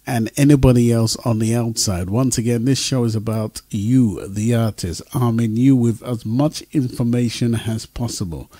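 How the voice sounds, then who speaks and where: A male radio host talks in a casual, conversational way. His tone is dark and relaxed, recorded dry and close with little room around it. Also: mid-range voice, dry recording. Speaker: radio talk show host. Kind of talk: casual talk show sign-off.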